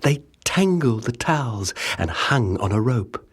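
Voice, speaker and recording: A man narrating a children's picture book in rhyme, with dramatic, measured, expressive storytelling. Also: mid-range voice. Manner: dramatic, measured storytelling with rhythmic rhyming delivery. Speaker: audiobook narrator. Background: music.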